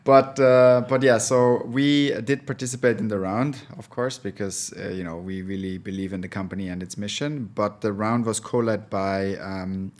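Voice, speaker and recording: Low-voiced man, hesitant. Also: steady tone, normal speed, hardly any pauses, a little mellow. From Switzerland. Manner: thoughtful, hesitant, many filler sounds. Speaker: venture capital partner, guest. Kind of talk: casual interview talk.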